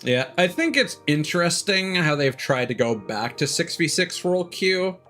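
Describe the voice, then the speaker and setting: A man's voice: a little high, big pitch swings, moderate pace, bright, forceful tone, soft background music. A man doing casual, rambling livestream chat as a game streamer, with chuckles here and there.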